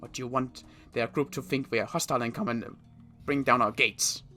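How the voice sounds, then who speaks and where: A man's voice: medium pitch, fairly even intonation, fairly quick, sharp tone, faint music behind, close to an everyday voice. A man playing a firm subordinate soldier in an improvised Minecraft zombie roleplay, formal and deferential yet insistent. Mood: firm, reasoning. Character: firm, outspoken male subordinate survivor soldier.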